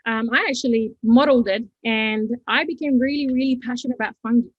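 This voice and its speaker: A woman's voice: on the high side, steady tone, fast talker, dry recording, highs cut off. A woman in her thirties from Costa Rica with a Costa Rican Spanish accent, earnest and full of fillers as an interview guest. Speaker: educator and business founder.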